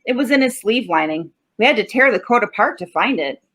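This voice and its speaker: Woman playing a high-pitched sarcastic tough-guy cop. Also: lively intonation, a little fast, a bit bright. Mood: sardonic. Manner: slangy, sardonic tough talk. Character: gruff, sarcastic male homicide cop, stubborn and unimpressed. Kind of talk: 1940s radio acting.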